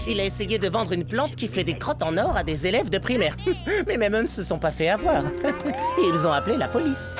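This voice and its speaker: A woman voicing a skeptical, teasing young friend in a French animated cartoon. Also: mid-range voice, brisk, over music. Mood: teasing, doubtful. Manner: teasing, knowing, doubtful.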